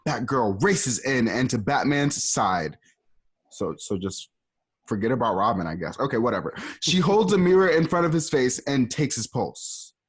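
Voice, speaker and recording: A man's voice: medium pitch, very animated, moderate pace, slightly rough. A man narrating stage directions for a home radio play, casual and offhand. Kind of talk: reading stage directions aloud.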